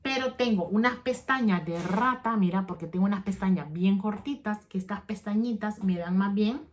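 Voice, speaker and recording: A woman's voice: mid-range voice, normal speed. Chatty woman vlogging. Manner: rambling, chatty, self-correcting; addresses viewers directly. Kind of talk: casual chat to camera.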